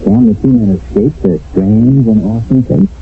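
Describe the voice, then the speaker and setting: A man giving measured, literary scripted narration as host of a radio drama. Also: lowish voice. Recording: narrow old radio broadcast, faint music.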